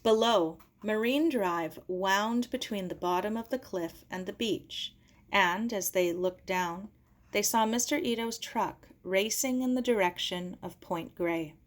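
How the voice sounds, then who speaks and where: A woman's voice: medium pitch, a little slow. A woman reading a children's novel aloud as scripted narration. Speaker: audiobook reader.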